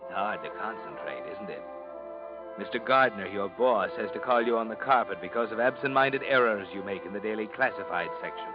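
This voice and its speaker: A man's voice: fairly low pitch, fairly quick, lightly acted. An actor playing a sinister, taunting narrator in a 1940s radio mystery, insinuating as he addresses a guilty man. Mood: taunting, ominous. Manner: insinuating, mocking, addressing the character as you. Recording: muffled old radio broadcast, organ-style music. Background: loud dramatic music.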